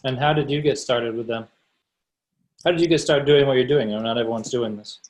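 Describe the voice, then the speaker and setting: A man at a middle pitch hosts an online seminar over a video call. He asks his questions loosely and conversationally, with plenty of ums and restarts. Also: brisk. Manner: relaxed, conversational, many fillers. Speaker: seminar host, interviewer.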